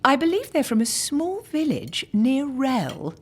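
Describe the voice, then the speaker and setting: A woman's voice: sits high, big pitch swings, unhurried, slightly rough, exaggerated voice. An actress playing a middle-aged, commanding lady of a noble house, controlled and gracious, in an audio drama. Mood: composed. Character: poised, commanding aristocratic lady, mother of the house.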